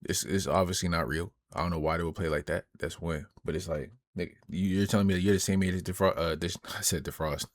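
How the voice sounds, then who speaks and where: A man's voice: deep voice, fairly even intonation, fast, few pauses, dry recording. A man streaming a casual reaction to a video, talking to his viewers in a slangy way.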